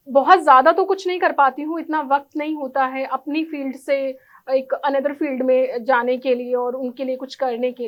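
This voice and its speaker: High-pitched woman, interview guest. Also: dull audio. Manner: earnest, mixes English words into speech. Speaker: interview guest, architect and NGO volunteer. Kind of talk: formal interview answers.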